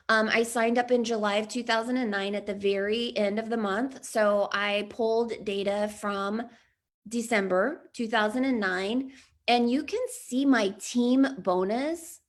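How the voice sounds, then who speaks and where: A woman in her thirties leading an online business training, chatty and upbeat, with a slightly raspy voice. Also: fairly high pitch. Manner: upbeat, chatty, frequent laughs and throat clearing. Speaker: business coach.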